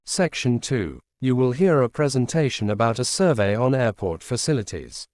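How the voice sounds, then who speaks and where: A man's voice: medium pitch, animated, a little mellow, no echo. Formal male test announcer, dry sound. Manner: formal, measured. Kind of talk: scripted instructional narration.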